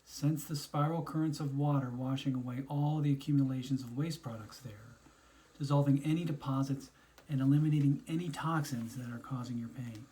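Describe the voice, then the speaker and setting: A man narrating a scripted guided meditation in a soothing, instructional way, pausing between phrases. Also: mid-range voice, mellow, rough recording.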